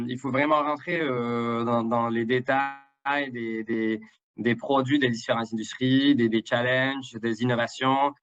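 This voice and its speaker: A man's voice: medium pitch, smooth, dull audio. A man from Mexico with a Mexican accent mixed with Québécois, native Spanish speaker, guest in a live interview, talking hesitantly with many fillers and repeated words. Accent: Mexican with Québécois French. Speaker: guest, international development director.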